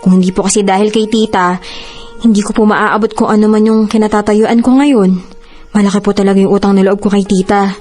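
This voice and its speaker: A woman's voice: medium pitch, flat delivery, brisk, darkish tone, faint music behind, natural voice. A woman playing a polite, dutiful young Filipino daughter and elder sister in a Tagalog radio drama. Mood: earnest, grateful. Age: young adult. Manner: polite, earnest.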